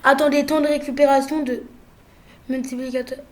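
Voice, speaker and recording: A young woman with a cold, chatting playfully through gameplay commentary, jumping from loud exclamations to quiet mumbles. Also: sits high, little pitch movement, brisk, echoey room. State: has a cold. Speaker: gaming youtuber.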